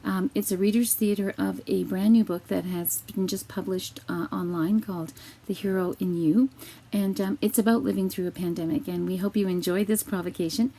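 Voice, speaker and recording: A woman in her fifties speaks informally to students from a kitchen. Her voice is mellow, with a gravelly fry running through it. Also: medium pitch, fairly even intonation, normal speed, low-quality recording. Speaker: school staff leader addressing students. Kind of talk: informal welcome message. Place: home kitchen.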